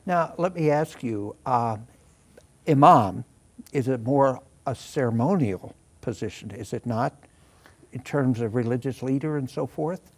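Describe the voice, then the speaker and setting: This man hosts an interview in a middle-pitched, everyday voice. He reads a prepared introduction, then moves into courteous, inquisitive questions for his guest. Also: unhurried. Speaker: interview host.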